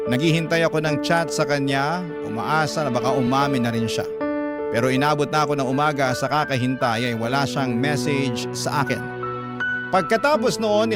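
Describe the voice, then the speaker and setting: Man narrating over loud music. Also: fairly high pitch. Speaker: radio storyteller. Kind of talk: first-person scripted story narration. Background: loud instrumental music.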